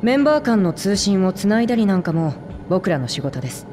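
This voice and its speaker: A woman's voice: medium pitch, big pitch swings, fairly quick, darkish tone, slight background noise, lightly acted. A woman voicing a young elf technician in a video game, polite, curious and blithely frank. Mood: curious, breezy. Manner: polite, breezy, inquisitive.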